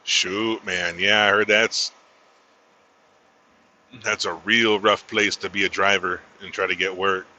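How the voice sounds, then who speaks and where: Laid-back trucker man, bright voice. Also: deep voice, flat delivery, slow pace, long pauses, slight background noise. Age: 30s. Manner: laid-back, drawling, peppers talk with "man" and "shoot". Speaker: truck driver streaming live. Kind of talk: casual livestream chat while driving. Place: inside a truck cab on the road.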